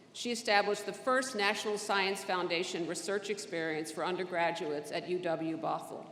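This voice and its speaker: A woman introducing an award winner at a commencement in a steady, formal reading voice, speaking into a podium microphone in a large indoor venue. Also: lowish voice, slight background noise. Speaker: ceremony presenter. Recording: echoey hall, faint ambience.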